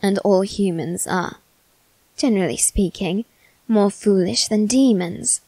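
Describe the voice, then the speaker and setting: A woman's voice: medium pitch, unhurried, warm tone, no room sound. A woman playing a teasing, haughty young male demon servant in a theatrical, mock-polite ASMR roleplay. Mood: teasing, smug. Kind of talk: ASMR character roleplay addressed to the listener.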